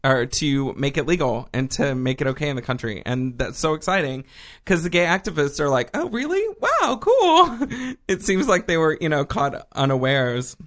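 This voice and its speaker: A man's voice: medium pitch, normal speed, a bit bright. A man from Texas co-hosting a casual news chat, rambling with many ums and restarts. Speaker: podcast co-host.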